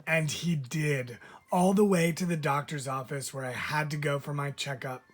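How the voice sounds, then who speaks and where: A man, a teacher, reading a children's picture book aloud with lively, expressive storytelling. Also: a little high, moderate pace, slight background noise.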